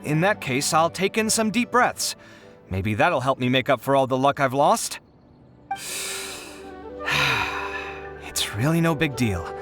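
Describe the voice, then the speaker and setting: A man voicing a luckless, proud but earnest young man in a video game, expressive and dramatic. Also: medium pitch, unhurried, pauses often, soft background music. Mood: determined. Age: young adult.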